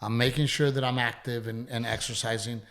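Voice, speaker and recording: A man's voice: mid-range voice, flat delivery, brisk, a bit bright. A middle-aged man, a comedian hosting a podcast, chatting casually in a rambling, profane, self-deprecating way. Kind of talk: casual chat.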